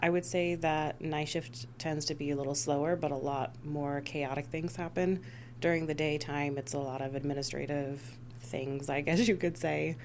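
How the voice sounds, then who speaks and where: Woman, interview guest, deep voice, conversational answers, dispatch supervisor, faint background hum. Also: fairly even intonation, normal speed, a little mellow. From United States (Missouri and Rhode Island).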